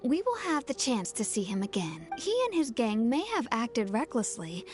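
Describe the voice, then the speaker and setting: A gentle grown woman from a video game. Her fairly high voice moves expressively, soothing and composed, with music clearly behind it. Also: very animated, normal speed. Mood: comforting. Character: gentle, fair-minded grown woman comforting a child.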